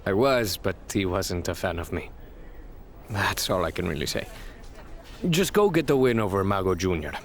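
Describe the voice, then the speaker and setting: A subdued, reticent wrestler in a game cutscene. His low voice sounds guarded, and there is clear noise in the background. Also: very animated, normal speed, pauses often. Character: reticent, downcast male pro wrestler. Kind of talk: video game cutscene dialogue.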